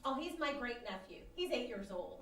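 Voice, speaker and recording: Woman playing a high-voiced, fussy neighbor lady. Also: very animated, moderate pace, a bit bright. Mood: chatty. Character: chatty, bossy, well-meaning older neighbor lady. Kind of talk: old-time radio comedy acting before an audience. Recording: roomy live stage, distant microphone.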